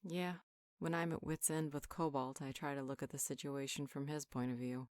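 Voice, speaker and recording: Woman playing a low-voiced, wise healer. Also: steady tone, moderate pace, a little mellow, no room sound. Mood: earnest, reassuring. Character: calm, wise female healer counseling an upset friend. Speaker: voice actor in roleplay audio. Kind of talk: conversational roleplay monologue, one side of a dialogue.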